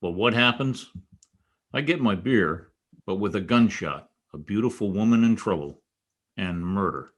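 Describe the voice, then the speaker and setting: A man's voice: lowish voice, lively intonation, measured pace. A man playing a world-weary, wry private detective narrating his case in a 1940s radio noir style. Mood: brooding, weary. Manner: wry, terse, wisecracking. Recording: home-recorded radio play, faint music.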